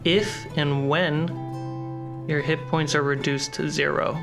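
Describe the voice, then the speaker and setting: A game master hosting and narrating a tabletop fantasy game in a dramatic, measured way with pauses. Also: medium pitch, steady tone, creaky. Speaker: game master and host of the show. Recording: music behind the voice. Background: plucked guitar music.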